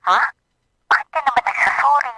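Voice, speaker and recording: A woman's voice: high-pitched, brisk, pushed and bright, no room sound, rough recording. An actress playing a friendly, caring young woman in a radio drama, heard through a telephone filter. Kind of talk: Filipino radio drama acting.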